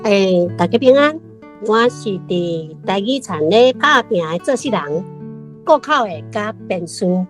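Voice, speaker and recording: A woman's voice: medium pitch, lively intonation, a little slow, over music. A woman with a Taiwanese accent giving a prepared, reflective commentary on a story as a guest.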